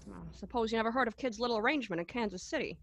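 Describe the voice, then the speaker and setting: A woman's voice: a little high, very fast, highs cut off, a little hiss or hum, close to an everyday voice. A woman playing a drunk, romantic male writer in a radio play, stammering, hiccuping and repeating words. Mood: scornful, loose-tongued. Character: tipsy, rambling, romantic young male writer.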